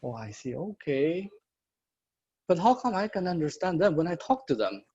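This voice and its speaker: A man from China, telling a personal story in a slow, deliberate prepared club speech over a video call. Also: a little high, sing-song, darkish tone, slightly rough. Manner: slow, deliberate, with simple plain phrasing. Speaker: prepared speaker. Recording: muffled lo-fi audio.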